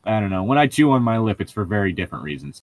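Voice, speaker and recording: A man's voice: on the low side, very animated, racing, no room sound. Opinionated man rambling casually, dry sound. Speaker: co-host of a group read-along commentary show. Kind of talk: casual chat and mocking commentary.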